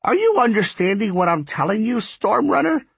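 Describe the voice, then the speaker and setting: A man's voice: high-pitched, very animated, normal speed, vocal fry, breathy edge, no echo, voice pushed up, full character voice. A man voicing a bossy, impatient and ancient female spirit who forges lightning, scolding and commanding in an audiobook story. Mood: exasperated, emphatic. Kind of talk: acted audiobook dialogue.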